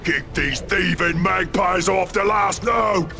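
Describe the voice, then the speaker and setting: Gravelly, high-strained Cockney ork warboss. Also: male voice, slow, over music, heavily acted. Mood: aggressive, impatient. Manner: blustering, barking orders. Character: brutish, bossy, battle-loving male ork warboss.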